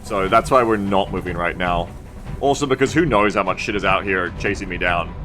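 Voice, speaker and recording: A man's voice: low voice, fairly quick, bright, forceful tone. Man streaming, wry sweary game commentary. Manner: wry, rambling, sweary, thinking aloud. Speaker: video game live streamer. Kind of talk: casual live gameplay commentary. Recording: music and game sound behind the voice. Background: tactical video game audio and music.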